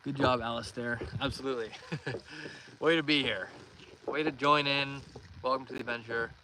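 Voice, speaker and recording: Relaxed young Canadian guy streaming outdoors. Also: medium pitch, moderate pace. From Northern Ontario, Canada. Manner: relaxed, friendly, chatty. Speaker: live-streaming fishing host. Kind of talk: casual live-stream chat, reading comments aloud. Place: outdoors on a lake in a canoe. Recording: outdoor stream with clear background noise. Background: water and boat noises.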